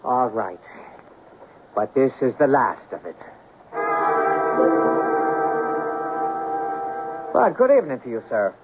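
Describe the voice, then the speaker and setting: An actor playing a stubborn, gloomy, lovesick young Irish immigrant in a 1970s radio drama, indignant and stammering when flustered. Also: male voice, medium pitch, huge pitch swings, normal speed. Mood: gloomy, grudging. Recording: dull, noisy old radio broadcast.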